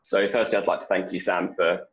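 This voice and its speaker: Young Englishman, low-voiced, loose chatty answers full of ums over a dull video call line. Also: fairly quick. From England. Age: young adult. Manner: relaxed, rambling with many fillers and restarts. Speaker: postdoc researcher guest. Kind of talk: casual interview answers.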